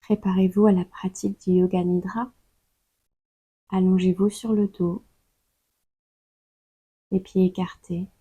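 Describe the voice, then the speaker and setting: A woman leading a guided yoga nidra meditation in a calm, soothing, unhurried way, leaving long pauses between instructions. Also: on the low side, breathy edge, no room sound. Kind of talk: slow guided meditation narration.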